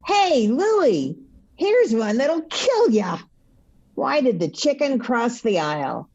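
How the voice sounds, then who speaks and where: A woman's voice: on the high side, sing-song, slow pace, darkish tone, dull audio, heavily acted. A woman playing a broad, corny joke teller on a 1940s radio comedy show, with exaggerated drawling delivery. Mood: clowning. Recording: noisy 1940s radio recording.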